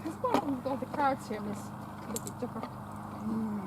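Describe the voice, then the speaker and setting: A woman's voice: a little high, animated, normal speed. A woman chatting outdoors in a paddock, coaxing a mare in baby talk, often repeating phrases and stammering over words. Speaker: vlogger visiting a stud farm. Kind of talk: casual talk to a horse and companion. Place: outdoor paddock at a stud farm. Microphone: camera held by companion. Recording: outdoor wind and farm noise.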